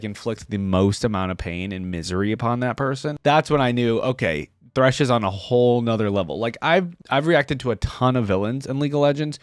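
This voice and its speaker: A man's voice: medium pitch, dry recording, studio quality. A man hosting a reaction video, giving casual, rambling gaming commentary as he thinks aloud.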